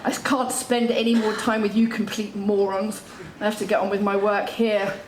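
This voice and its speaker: A woman's voice: mid-range voice, flat delivery, background noise, natural voice. A woman on stage playing a gloating evil pantomime villain, sneering and theatrical, scheming to rule. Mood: scornful. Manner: theatrical, sneering, drawn-out cackling delivery. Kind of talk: stage pantomime acting to the audience. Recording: echoey theatre stage, music behind.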